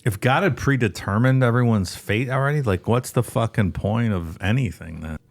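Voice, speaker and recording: A man's voice: mid-range voice, normal speed. A man co-hosting a podcast, chatting casually in a laid-back, wry way. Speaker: podcast co-host. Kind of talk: casual chat.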